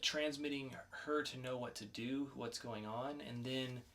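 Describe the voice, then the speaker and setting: A man with a bright, forward voice and a mid-range pitch. He talks as a podcast co-host, conversational and casual, putting emphasis on announcements as he reviews comics. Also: fairly even intonation, a little slow, slight background noise.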